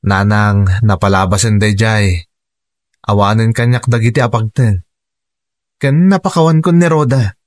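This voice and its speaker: An actor with a Filipino Ilocano accent playing a forgiving, soft-spoken man in a radio drama. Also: fairly low pitch, unhurried, long pauses, no echo, clean studio sound, close to an everyday voice. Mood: subdued, resigned.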